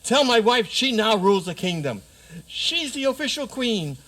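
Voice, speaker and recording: A man's voice: extremely high pitch, sing-song, moderate pace, a bit bright, exaggerated voice. A man playing a delighted, theatrical frog prince in a comic radio play. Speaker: actor in community theater.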